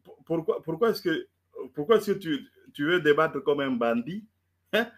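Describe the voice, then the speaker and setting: Stammering husky man lecturing. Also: on the high side, lively intonation, moderate pace. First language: not French. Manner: stammering, repeats words, lecturing. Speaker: debater. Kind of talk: heated live debate.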